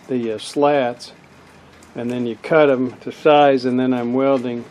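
A middle-aged man explains welding in a slow, halting way, full of restarts and fillers. He is outdoors near a parking lot, with clear street and traffic noise around the camera mic. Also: mid-range voice, dark tone, rough recording. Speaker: hobby welder. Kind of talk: casual how-to demonstration.

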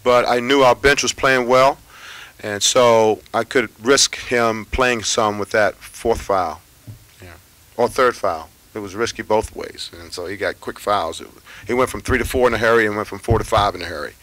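A middle-aged man, a basketball head coach, answering reporters after a game in measured, unhurried talk full of fillers and pauses. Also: on the low side, fairly bright tone, no echo, rough recording. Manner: measured, thinking aloud with many fillers. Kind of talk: postgame press conference answers. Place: press room with sponsor backdrop. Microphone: table microphones at a press conference.

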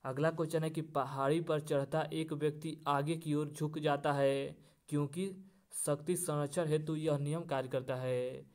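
A man's voice: fairly high pitch, flat delivery, moderate pace. A man teaching an exam-prep lesson, reading out questions and then giving the answers in a formulaic, repetitive way. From India.

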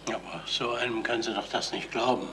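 An actor plays a sheepish Bavarian man in a radio drama, earnest and halting as he justifies himself. His voice is medium-pitched and bright, with faint hiss from the old recording. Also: fairly even intonation, close to an everyday voice. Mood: defensive. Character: sheepish, pleading suitor jealous of a dog. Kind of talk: radio crime drama acting. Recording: slightly hissy 1970s radio recording.

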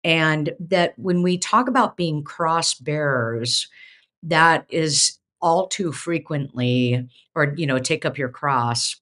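A woman's voice: sits low, slow. A middle-aged woman, a seminary professor hosting a casual theological discussion, who talks emphatically and restarts her phrases often, on a video call from her home office. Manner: emphatic, animated, many restarts.

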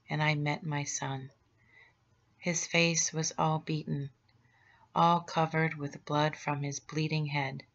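Brief: woman reading as a sorrowful holy mother, low and even, tender and solemn, dull lo-fi sound. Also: heavily acted. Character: gentle grieving mother of Jesus, middle-aged woman. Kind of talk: devotional meditation read aloud.

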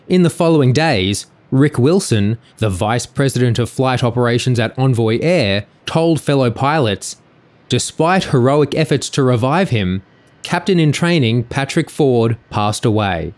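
Male narrator, middle pitch, measured and sober documentary read. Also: lively intonation.

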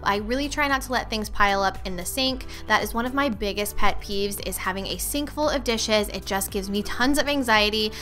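A young woman talks through her cleaning routine in a bright, clear voice. Her voice-over is chatty and upbeat, full of friendly product tips, with light music playing faintly underneath. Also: medium pitch, brisk, hardly any pauses. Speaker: cleaning vlogger. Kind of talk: scripted voice-over narration.

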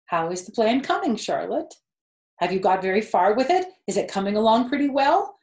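A woman's voice: medium pitch, sing-song, normal speed, a little mellow, pitched above the performer's own voice. A woman voicing an anxious, eager, childlike young male pig in a children's storybook, asking in a pleading way. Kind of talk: read-aloud children's storybook. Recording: roomy, echoey indoor sound.

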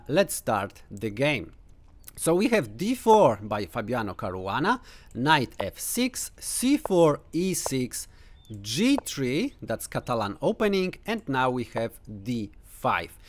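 A man in his thirties with a Polish accent, a native Polish speaker, casually commentating a chess game to camera from a room at home. He talks unhurriedly with lots of "uh" fillers and playful asides. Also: mid-range voice. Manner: unhurried, thinking aloud with many uh fillers, playful teasing asides. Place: home room with bookshelves, indoors.

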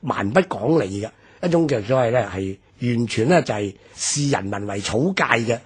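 A man's voice: mid-range voice, lively intonation, moderate pace, slightly rough. A man in his sixties with a Hong Kong Cantonese accent, recounting memories and history in a reflective radio talk. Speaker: radio commentator.

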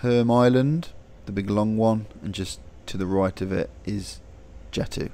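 A man narrating a casual travel vlog, chatty and relaxed, thinking aloud as he films outdoors by a harbour. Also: on the low side, a little slow, slight background noise. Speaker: vlogger.

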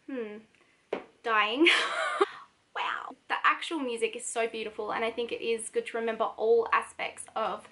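A young woman in her twenties chatting casually to camera at home, rambling a little, her voice slightly croaky. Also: a little high, sharp tone. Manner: chatty, rambling, self-deprecating. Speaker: singer and vlogger. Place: home living room, indoors.

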